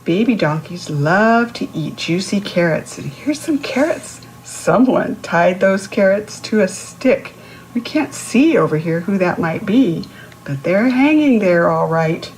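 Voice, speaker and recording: A woman's voice: mid-range voice, expressive, a little slow, a little mellow, slight background noise, low-quality recording. A woman reading a picture book aloud to young children, instructive, posing questions to the listener and explaining words. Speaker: children's read-aloud host.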